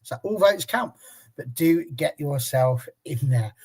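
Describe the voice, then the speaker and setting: An English man hosting a casual football panel, chatting in a jokey, self-deprecating way with lively banter. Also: on the high side, a little slow, slightly rough. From England. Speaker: panel host.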